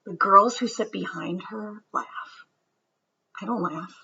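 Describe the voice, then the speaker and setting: A woman reading a picture book aloud as a storyteller for children, with expressive narration and deliberate, dramatic phrasing that drops into hushed softness. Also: medium pitch, creaky, slightly rough, lo-fi sound.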